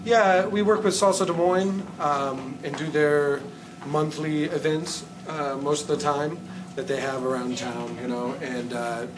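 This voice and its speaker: A man's voice: fairly high pitch, steady tone, a little slow. A man from Iowa, a conga player, answering interview questions in a chatty, easygoing way. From Iowa, USA. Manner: chatty and laughing easily. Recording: distant mic with clear background noise.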